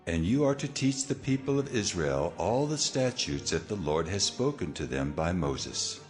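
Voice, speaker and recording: A man playing God in a dramatized scripture recording, speaking slowly and gravely with solemn authority. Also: deep voice, big pitch swings, soft background music. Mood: solemn, commanding. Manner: slow, grave, authoritative delivery. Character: God, solemn, commanding male divine voice. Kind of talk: dramatized scripture audio acting.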